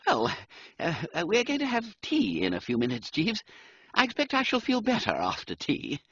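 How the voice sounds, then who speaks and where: A man's voice: high-pitched, huge pitch swings, normal speed, fairly bright tone, slightly rough, no echo, voice pushed up, full character voice. A man doing a flustered, stammering young toff. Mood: nervous jolly. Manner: stammering, hesitant, with upper-class slang. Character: flustered young English gentleman, genial and dithery. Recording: dull, dry, muffled sound.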